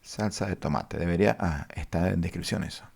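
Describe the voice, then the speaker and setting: A low, gravelly man walks through a database lesson at his computer. He talks haltingly, with frequent fillers, and addresses his viewers formally. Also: darkish tone. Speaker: database instructor. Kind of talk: screen-share coding lesson.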